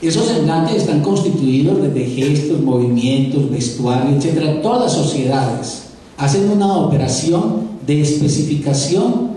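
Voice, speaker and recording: Paisa-accented male professor, mellow, rather high voice, lecturing live, heard from far off in an echoey auditorium. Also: expressive, moderate pace. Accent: Paisa (Antioquian Spanish). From Antioquia, Colombia. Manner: conversational, addresses audience directly, repeats words while thinking.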